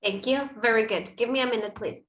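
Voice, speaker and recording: High-voiced woman teaching an online class. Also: brisk, fairly bright tone. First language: Spanish. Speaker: English teacher. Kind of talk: online class instruction, calling on students.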